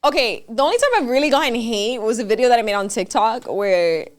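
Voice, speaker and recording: Bright-pitched young woman mixing Spanish in. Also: fast talker. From Miami, United States. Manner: animated, mixes Spanish into English. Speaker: podcast host. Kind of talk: casual interview chat.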